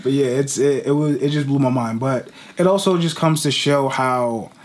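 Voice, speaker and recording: A man's voice: medium pitch, normal speed. Casual male podcast host. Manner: casual, filler-heavy, animated retelling. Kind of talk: casual interview chat.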